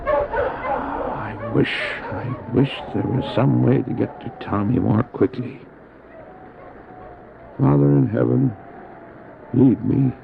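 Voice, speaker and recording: An actor playing a determined, devout doctor who drives a dog sled, in an animated, exclamatory audio drama style. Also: male voice, medium pitch, slow pace, vocal fry, pauses often, background noise, exaggerated voice. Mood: prayerful, earnest. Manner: animated, exclamatory, self-talking. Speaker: character who also narrates his story. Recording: dull old cassette drama, loud music.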